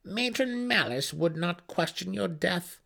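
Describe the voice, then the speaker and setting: A man voicing a cruel, imperious female dark elf high priestess with a menacing, scornful snarl in an audiobook. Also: animated, measured pace, gravelly, full character voice. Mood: menacing threat.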